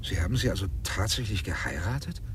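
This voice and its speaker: An actor playing a formal, sceptical lawyer in a German radio drama, questioning in a pointed, probing way. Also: male voice, sits low, lively intonation, fast, slight background noise. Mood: incredulous.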